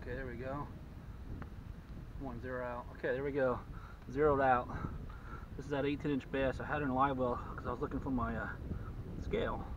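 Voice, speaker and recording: A man in his forties talks casually on a small boat on an open lake, caught by a camera mounted a few feet away. The sound is dull and muffled. Steady wind noise runs under his voice. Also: medium pitch, fairly quick, pauses often, distant mic. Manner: excited, talking to himself while handling fish. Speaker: fishing channel host. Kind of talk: casual fishing vlog narration. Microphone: action camera mounted on the boat.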